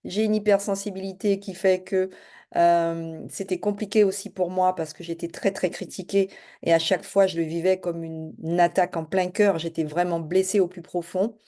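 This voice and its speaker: Fast-talking middle-aged woman, mellow low voice. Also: fairly even intonation. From French Guiana. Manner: fast-talking, hesitant with many fillers. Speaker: spiritual and business coach. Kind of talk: casual self-introduction to camera.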